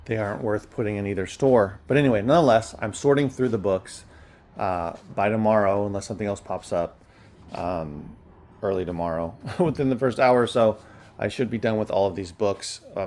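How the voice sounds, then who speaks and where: A man with a fairly low voice talks in a casual, unscripted way. He rambles through his thoughts as a small-time reseller, wandering into asides and letting sentences trail on. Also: darkish tone. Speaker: online reseller and bookstore owner. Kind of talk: casual chat.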